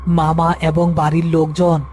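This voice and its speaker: A man's voice: fairly high pitch, completely flat delivery, unhurried, a little mellow, faint music behind. A man narrating a scripted horror folk tale as storyteller.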